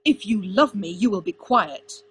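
A woman's voice: medium pitch, lively intonation, measured pace, a little hiss or hum, lightly acted. Casting: female reader as a headstrong young prince, angry and commanding, snapping his lines in a fantasy audiobook. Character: proud, bitter young crown prince, stubborn and angry.

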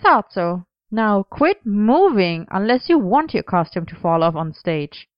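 A woman voicing a brisk, bossy but friendly young female costume maker in an audiobook story. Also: mid-range voice, big pitch swings, dry recording, dull audio. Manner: brisk, no-nonsense.

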